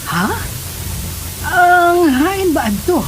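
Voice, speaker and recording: A woman's voice: extremely high pitch, big pitch swings, extremely slow, full character voice, poor audio. An actress playing a doting, supportive Filipino mother of a grown son in a radio drama. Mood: tender. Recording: loud static hiss throughout.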